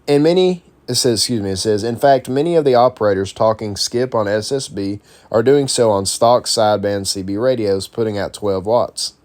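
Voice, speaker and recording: A man's voice: lowish voice, normal speed, hardly any pauses, darkish tone. A man presenting an instructional guide, reading a script aloud in an informal, explanatory way. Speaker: presenter of a CB radio how-to guide.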